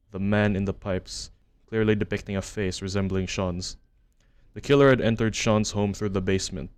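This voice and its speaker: A man narrating a horror commentary as a video essayist, measured and matter-of-fact, reading from a script. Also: lowish voice, a little mellow. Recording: music under the voice-over. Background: background music.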